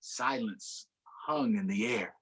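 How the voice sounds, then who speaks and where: A man reading a picture book aloud to children in an unhurried way, pausing for short explanatory asides. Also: mid-range voice, wildly swooping pitch, gravelly, a bit bright, breathy edge, rough recording. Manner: unhurried, adds explanatory asides to young listeners. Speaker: storytime reader.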